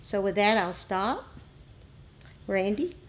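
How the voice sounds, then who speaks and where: A woman teaching meditation informally to a group, speaking in short, measured phrases with pauses between them. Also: mid-range voice, big pitch swings. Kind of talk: informal dharma talk and group discussion. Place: meditation group meeting room. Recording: noisy live room recording.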